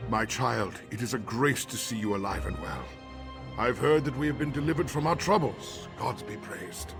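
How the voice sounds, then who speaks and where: A man's voice: mid-range voice, normal speed, vocal fry, close to an everyday voice. An actor playing a solemn, devout male priest in a video game, heard from a distance with music. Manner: solemn, blessing.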